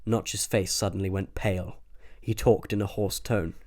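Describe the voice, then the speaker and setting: A man's voice: on the low side, fairly even intonation, normal speed, darkish tone. A man reading a scripted comic audiobook as narrator.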